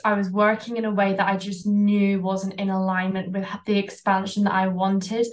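A Scottish woman who is a guest on a podcast, talking reflectively about her coaching experience. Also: mid-range voice. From Scotland. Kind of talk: casual podcast chat.

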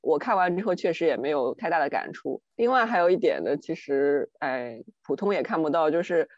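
A woman's voice: sits low, expressive, brisk, no echo. A woman co-hosting a podcast, telling personal anecdotes in a casual, exasperated, rambling way. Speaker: podcast co-host. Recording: dry, slightly dull lo-fi audio.

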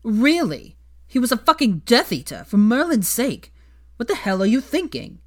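A woman's voice: on the high side, big pitch swings, normal speed, pitched above the performer's own voice. A woman voicing an indignant, hot-tempered young man blustering in a fan fiction audiobook. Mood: shouting outrage.

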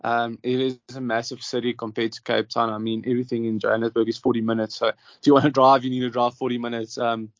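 Young South African man, flat hesitant speech. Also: medium pitch, fast talker, few pauses, dry recording. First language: Afrikaans. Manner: hesitant, many ums and restarts. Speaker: professional golfer, interviewee. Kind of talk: casual interview answers. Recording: dull, dry, lo-fi sound.

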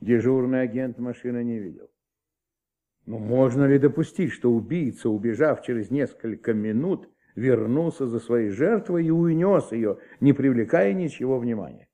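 A man playing a middle-aged, methodical police commissioner who thinks aloud and questions deliberately in a Soviet radio drama. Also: mid-range voice, warm tone, lightly acted. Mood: pondering. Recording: old Soviet radio recording, faint music.

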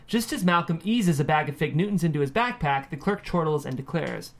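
A man narrates a screenplay at a table read. His voice is fairly high for a man, and he delivers scene headings and action lines as scripted narration. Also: animated, a little fast. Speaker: stage-direction reader.

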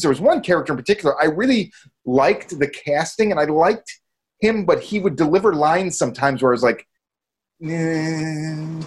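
Male podcast co-host, fairly high register, enthusiastic, sweary, casual review chat.

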